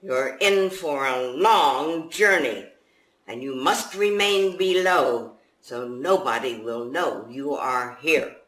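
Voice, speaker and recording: Loud, emphatic ship captain read by a woman, echoey. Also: rumbling low, lively intonation, slow, full character voice. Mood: commanding. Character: ship captain curtly addressing crowded passengers below deck. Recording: echoey room.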